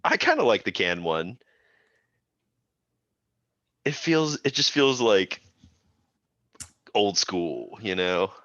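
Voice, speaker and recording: A man's voice: on the low side, wildly swooping pitch, slow pace, long pauses, fairly bright tone. A man guest on a podcast, chatting casually, laughing often and joking at his own expense. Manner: laughs often, self-deprecating. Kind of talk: casual chat. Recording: dull, dry lo-fi audio.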